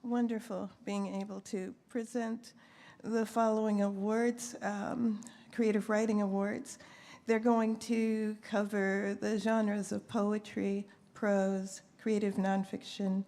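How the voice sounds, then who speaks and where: A woman's voice: medium pitch, level tone, slow pace, vocal fry, dark tone. A woman presenting awards at a live ceremony in an informal, chatty way, with asides to herself as she goes. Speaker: poet. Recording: faint background noise, live event microphone.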